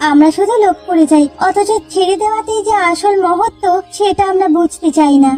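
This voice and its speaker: A gentle, principled young sister-in-law from a cartoon, voiced by a woman. Her voice is extremely high but soft and mellow, and she talks with earnest calm. Light music sits behind her. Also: brisk. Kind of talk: Bengali cartoon voice acting.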